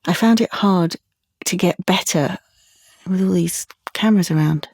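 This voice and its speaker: A woman's voice: fairly low pitch, unhurried, darkish tone, no room sound, clean studio sound. An actress playing a withdrawn, haunted young woman in an audio drama monologue, speaking hesitantly and intimately with a British accent. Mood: troubled, reflective. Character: withdrawn, haunted young woman traumatised by surveillance and a leaked photo.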